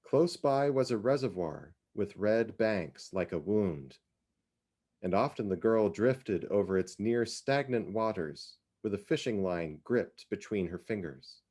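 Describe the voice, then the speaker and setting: A man's voice: lowish voice, a little mellow. A man giving a dramatic reading of literary prose as scripted narration. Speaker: reader of literary fiction.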